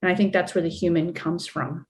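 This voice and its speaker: A woman's voice: fairly low pitch, fairly even intonation, brisk. A woman interview guest talking casually and reflectively, with thoughtful emphasis on key words.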